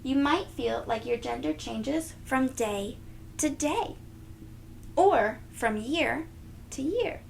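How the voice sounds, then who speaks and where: A woman reads a storybook to little children in a high voice with a creaky edge. She is expressive and gentle, taking her time and talking straight to the listeners. There is faint noise in the background. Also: slow pace. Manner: expressive, gentle, addressing young children directly. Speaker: children's read-aloud host. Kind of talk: storybook read-aloud for young children.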